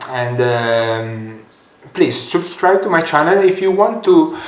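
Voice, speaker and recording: Italian-accented young man, non-native English, slow and halting tutorial delivery, echoey room sound. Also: fairly high pitch, expressive, slight background noise. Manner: halting, many fillers and restarts. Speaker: software tutorial creator. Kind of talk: screen-recorded software tutorial.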